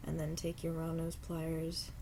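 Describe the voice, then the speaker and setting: Low, mellow-voiced woman with a stuffy cold. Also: gravelly, slight background noise. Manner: relaxed, unhurried, apologetic asides. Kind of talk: casual step-by-step craft tutorial. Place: home craft table.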